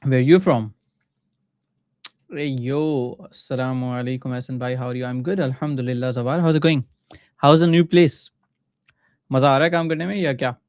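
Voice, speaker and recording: Male coding streamer, Pakistani accent, Urdu native. Clean, resonant mid-range voice, dry sound, informal halting think-aloud delivery. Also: mid-range voice, fairly even intonation, darkish tone, dry recording. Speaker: livestreaming coder and mentor. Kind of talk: casual live coding commentary.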